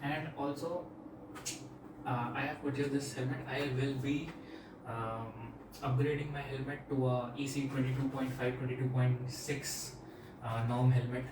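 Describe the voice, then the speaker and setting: A man's voice: mid-range voice, little pitch movement, measured pace. A man with an Indian accent giving a casual, plain-spoken product demonstration and review as a vlogger. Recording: echoey room, distant mic, clear background noise.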